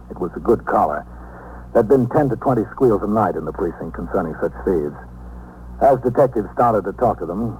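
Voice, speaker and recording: An American actor playing a composed police captain in a 1950s radio drama, narrating in a clipped, official, procedural manner. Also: male voice, deep voice, a little mellow, lightly acted. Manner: matter-of-fact, procedural. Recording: noisy old 1950s radio recording.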